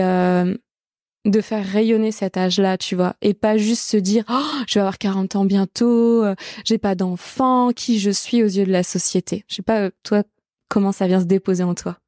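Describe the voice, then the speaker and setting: A woman's voice: mid-range voice, dark tone, no echo, clean studio sound. A woman in her late thirties hosting an intimate conversational podcast. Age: late 30s. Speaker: podcast host.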